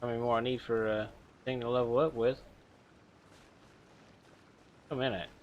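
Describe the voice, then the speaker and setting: A man streaming a game, chatting casually with relaxed, mumbled asides and frequent chuckles. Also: medium pitch, fast, muffled recording, a little hiss or hum. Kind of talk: casual gameplay commentary.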